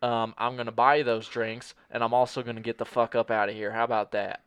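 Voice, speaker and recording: A man in an improv comedy scene playing a cocky, clueless American who pitches a spy movie, rambling and brash and talking over people. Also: flat delivery, quick pace, a bit bright, clear, resonant tone, no room sound, clean studio sound, close to an everyday voice. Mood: nervous.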